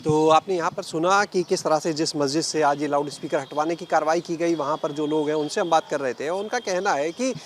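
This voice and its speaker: A male television field reporter with a slightly high voice presents a street report. He addresses viewers and puts questions to locals. Faint outdoor city noise sits behind him. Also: fairly quick, few pauses. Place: outdoors on a street outside a mosque. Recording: noisy outdoor urban street ambience.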